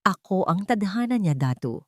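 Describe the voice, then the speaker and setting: A woman's voice: medium pitch, sing-song, normal speed, no room sound, close to an everyday voice. A narrator woman performs a vengeful villainess in an audio drama. She is proud and menacing, in a dry, close recording. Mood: gloating. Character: vengeful, gloating young villainess fiancée.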